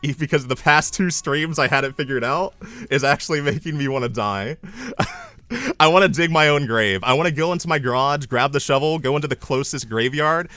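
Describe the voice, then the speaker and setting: A young man chatting casually on his live stream, mocking himself and breaking into loud triumphant yells. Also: fairly high pitch, expressive, fairly quick, no echo.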